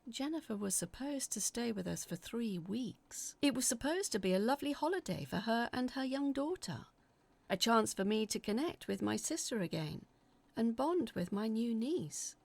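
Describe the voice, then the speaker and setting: A woman acting as an anxious narrator, telling a scripted first-person horror story in a confiding, uneasy, dramatic way with a husky, creaky voice. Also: on the high side, expressive, moderate pace. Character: anxious woman reading out her frightened letters about sinister events.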